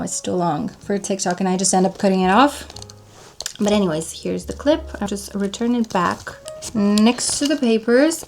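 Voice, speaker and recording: Young woman vlogger, relaxed chatty show-and-tell, soft music under the voice. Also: mid-range voice. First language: Bulgarian. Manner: chatty, relaxed, over-explaining.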